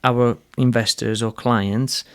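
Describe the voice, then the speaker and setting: A man's voice: mid-range voice, fairly even intonation, slow pace. A man with a Slovak accent hosting a podcast interview, talking bluntly and earnestly with frequent restarts and some swearing. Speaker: podcast host. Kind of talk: casual interview conversation.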